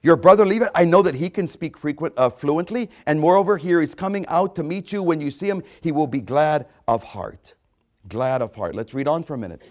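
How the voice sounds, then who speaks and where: A man with a notably high voice delivers a sermon, reading scripture and then driving its meaning home. He leans hard on repeated phrases. He jumps from ringing, near-shouted exclamations down to soft, intimate asides. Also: fairly quick. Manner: emphatic, repeats phrases for effect; swings between loud exclamations and hushed asides. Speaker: preacher.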